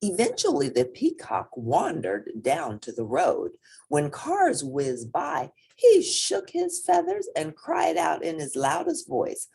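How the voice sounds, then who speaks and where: Lady reading a kids picture book, really slow and expressive, bit husky and creaky. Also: low voice. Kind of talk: expressive children's story reading.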